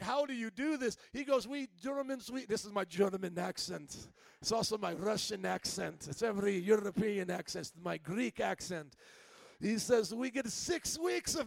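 A man's voice: sits high, no room sound. A pastor preaching a sermon to his congregation, calling for responses and telling stories with energy. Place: church.

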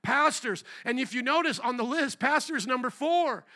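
A middle-aged man from Indiana preaching a sermon as pastor, animated, rising to near shouts on rhetorical questions. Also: extremely high pitch, normal speed. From Indiana, USA.

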